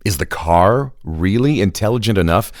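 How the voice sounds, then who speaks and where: Suspense-building narrator for a kids' adventure, played by a man: lively swooping intonation, dry close sound, theatrical and teasing. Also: lowish voice, moderate pace, no room sound. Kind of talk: dramatic scripted narration for children.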